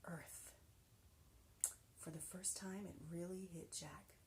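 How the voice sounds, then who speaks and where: A woman teacher reading a children's book aloud with expressive, animated delivery and soft whispered passages. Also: on the low side, slow, a little hiss or hum.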